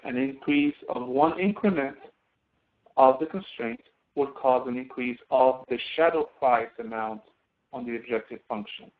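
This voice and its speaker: A man's voice: mid-range voice, measured pace. A man instructing in a screen-recorded tutorial, explaining step by step and thinking aloud as he works. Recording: tinny phone-like narrow-band audio.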